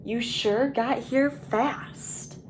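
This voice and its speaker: A woman's voice: fairly high pitch, fairly even intonation, extremely slow, slight background noise. A woman voicing a helpful, businesslike male chicken in a children's storybook, giving instructions plainly.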